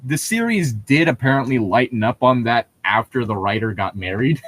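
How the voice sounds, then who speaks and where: A man's voice: medium pitch, lively intonation, moderate pace, fairly bright tone. A man co-hosting a comedic read-aloud of fan fiction, casually riffing with sarcastic jokes and frequent laughter. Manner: jokey, sarcastic, laughs often.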